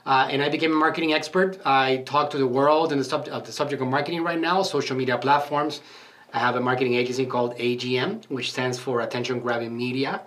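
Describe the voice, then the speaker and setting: A man's voice: mid-range voice, steady tone, fast, a bit bright. Man, marketing agency owner, motivational podcast talk, earnest, emphatic, frequent fillers.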